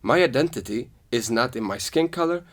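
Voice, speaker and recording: A man's voice: medium pitch, fairly quick. Earnest Swedish man telling stories. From Sweden. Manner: earnest, storytelling, animated when quoting others. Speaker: podcast host. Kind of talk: casual conversation.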